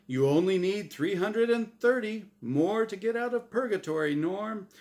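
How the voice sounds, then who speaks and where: A man's voice: lively intonation, normal speed, darkish tone. A man voicing a gleeful, smugly cheerful angel game-show host with a big announcer delivery, in a story reading. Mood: showy, excited. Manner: booming, exaggerated announcer delivery.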